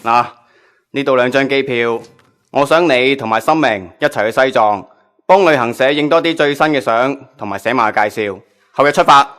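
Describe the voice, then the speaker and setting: A man's voice: mid-range voice, moderate pace, a bit bright, natural voice. A man with a Hong Kong Cantonese accent playing a businesslike magazine chief editor in a radio drama.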